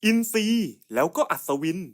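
A man's voice: moderate pace, fairly bright tone. A man voicing scripted cartoon puzzle skits in casual, slangy, coarse buddy-style banter.

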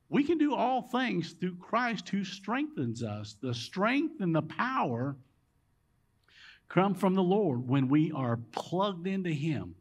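An older man with a drawl preaches from the front of a big church hall, his voice sitting fairly high and roaming widely in pitch. He takes his time, leaning into key words and then dropping almost to a murmur. The sound carries the open room around him. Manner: emphatic preaching, rising and dropping dramatically. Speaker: pastor. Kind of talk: sermon. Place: large church sanctuary with rows of empty chairs.

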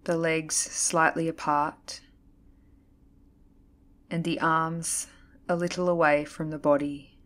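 Female meditation instructor, low register, level intonation, calm scripted delivery with deliberate pauses. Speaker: yoga nidra instructor. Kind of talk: guided meditation, scripted narration.

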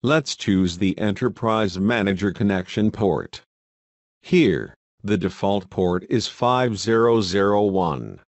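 A man reading scripted step-by-step software instructions in a measured way as a tutorial narrator. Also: on the low side, expressive, dry recording, dull audio.

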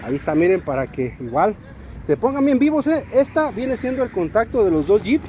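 A Mexican man with a fairly high voice walks through an outdoor used-car market, hyping each vehicle in a lively, slangy sales patter. He is recorded on a handheld camera, with clear outdoor street noise behind him. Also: brisk, lo-fi sound. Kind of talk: walk-around car showcase.

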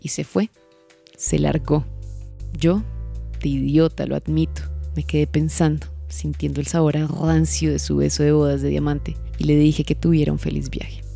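A bitter, brooding Colombian woman in a radio drama confession. Her voice is dark and fried at the edges, with emphatic phrasing. Soft music sits behind her. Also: sits low, level tone, moderate pace, gravelly. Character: bitter, wronged wealthy woman confessing to a priest. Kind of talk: Spanish-language radio drama monologue.

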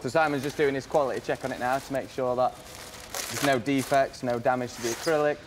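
A man's voice: mid-range voice, steady tone, a little fast, noisy background. An English man, a hot tub company manager, explaining things matter-of-factly in a business interview. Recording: music under the voice.

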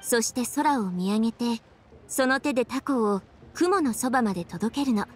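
A woman's voice: a little high, expressive, normal speed, darkish tone, husky. An actress playing a thoughtful young woman official in an anime game, composed and articulate as she explains things. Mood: pleased, reflective. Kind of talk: anime video game voice acting.

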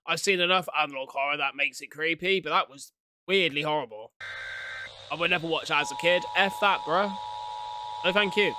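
A man's voice: on the high side, brisk, bright, forceful tone, dry recording. A young man giving casual, slangy reaction commentary with blunt swearing and muttered asides into a close desk mic. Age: young adult. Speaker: reaction video host. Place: indoor room at home. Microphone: close desk condenser mic, headset on.

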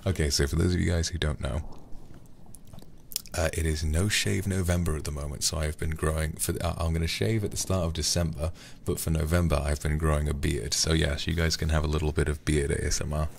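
A soft-spoken man livestreaming a game in a close-miked ASMR style, often dropping to a whisper. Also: rumbling low, level tone, fairly quick. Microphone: close ASMR microphone. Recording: clear background noise under close voice.

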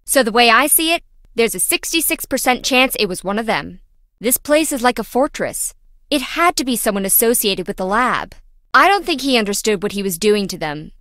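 A woman's voice: on the high side, big pitch swings, normal speed, fairly bright tone, no room sound, studio quality. A woman playing a quirky, dramatic young female lab worker in a video game, chatty, wry and theatrical. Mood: earnest, confiding.

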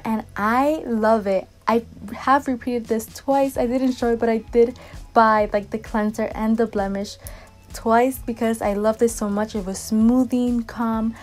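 A young woman with a Dominican accent talks through her skincare picks in a chatty, animated way, gesturing as she goes. Her voice sits a little high, and soft music plays quietly under her from a camera set up in a bright bedroom. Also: unhurried. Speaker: fashion and skincare vlogger. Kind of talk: casual chatty product review.

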